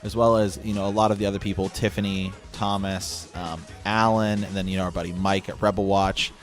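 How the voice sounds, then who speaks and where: Male podcast host, lower-pitched, casual conversational delivery, faint music underneath. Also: level tone, brisk.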